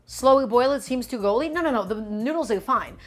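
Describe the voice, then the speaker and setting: Young woman, cooking streamer, higher-pitched voice, chatty, upbeat, calls viewers "guys", faint background music. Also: lively intonation, brisk. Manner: upbeat, hypes up the audience. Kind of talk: live cooking stream, reading chat.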